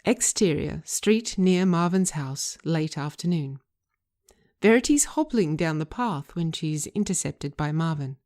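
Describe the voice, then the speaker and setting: A woman narrating a screenplay aloud, reading scene headings and stage directions in a measured, deliberate way. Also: low-pitched, animated, darkish tone. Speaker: writer and narrator.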